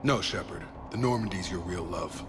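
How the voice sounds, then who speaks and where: A loyal ex-soldier voiced by a man talks in a fairly low, sincere voice. The delivery is plain-spoken and earnest, as cinematic game drama, with prominent music behind. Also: normal speed, close to an everyday voice. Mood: earnest, resolved. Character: loyal, earnest male ex-soldier guarding refugees. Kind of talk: cinematic video game dialogue.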